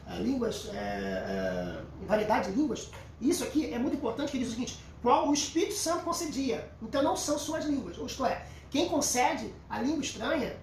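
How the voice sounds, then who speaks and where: Carioca guy doing an informal bible lesson, animated and emphatic, echoey room with faint noise. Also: extremely high pitch, creaky. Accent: Carioca Brazilian. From Rio de Janeiro, Brazil. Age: middle-aged. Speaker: Bible teacher. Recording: roomy echo, distant mic, faint noise.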